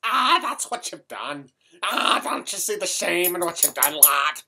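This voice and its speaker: A man's voice: high-pitched, big pitch swings, measured pace, sharp tone, husky, voice pushed up, heavily acted. A man putting on a pompous Irish priest. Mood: scolding. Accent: put-on Irish. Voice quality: preachy, self-important.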